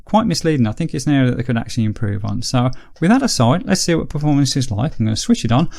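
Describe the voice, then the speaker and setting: A man's voice: medium pitch, very animated, fast talker, vocal fry, darkish tone. A man presenting a casual product review in a methodical, explanatory way. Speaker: host of gadget review videos.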